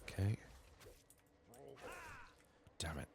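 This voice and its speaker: A man speaking softly and sometimes whispering, muttering his thoughts aloud as he commentates a game for ASMR. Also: very slow, a little hiss or hum. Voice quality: hushed, soft, sometimes slipping into whisper. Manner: muttering, thinking out loud. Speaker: gaming video host. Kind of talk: soft-spoken ASMR game playthrough commentary.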